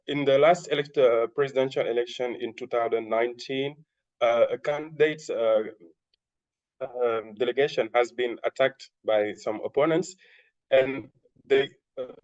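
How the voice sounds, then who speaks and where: Senegalese man, halting French-accented English. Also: medium pitch, a little mellow. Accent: French-speaking Senegalese. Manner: halting, many fillers and self-corrections. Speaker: webinar panelist. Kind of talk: hesitant presentation. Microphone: video call. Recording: dull lo-fi video call audio.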